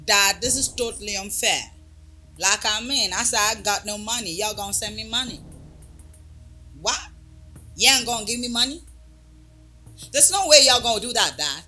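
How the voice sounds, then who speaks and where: A woman playing a bright-voiced, furious young woman. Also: medium pitch, lively intonation, normal speed, pauses often, faint music behind. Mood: whining. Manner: confrontational, swearing. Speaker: wronged friend in a skit.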